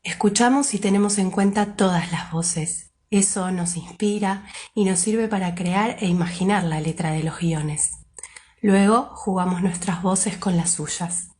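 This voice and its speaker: A woman, a member of a civic association, reading a prepared statement with measured, formal phrasing. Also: mid-range voice, darkish tone.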